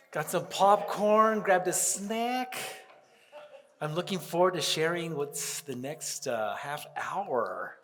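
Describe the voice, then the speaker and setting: A man who is a pianist and composer, talking casually to a concert audience and reciting a poem in a playful manner, with many chuckles and dramatic pauses. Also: fairly high pitch, a bit bright. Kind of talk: informal stage talk and poem recitation. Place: concert hall stage. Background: faint audience laughter.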